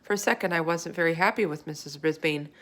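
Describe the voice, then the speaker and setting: A woman's voice: low voice, fast talker, a bit bright. A woman voicing a small, kindly male hamster who narrates a children's storybook with earnest wonder. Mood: earnest, musing. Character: small chatty classroom hamster, male, kind and observant storyteller.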